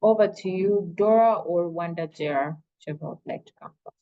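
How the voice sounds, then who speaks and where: A woman moderating an online panel, briskly directing the questions to the speakers. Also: on the low side, phone-quality sound.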